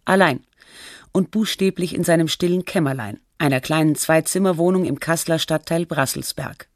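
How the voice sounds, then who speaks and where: An actress playing a sober radio feature presenter, reading scripted documentary narration in a measured, formal way. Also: female voice, low-pitched, dry recording, clean studio sound.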